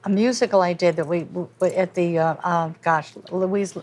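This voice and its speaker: Older woman hosting a halting lunch chat. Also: fairly low pitch, steady tone, darkish tone, slight background noise. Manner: halting, many restarts and fillers. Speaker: host.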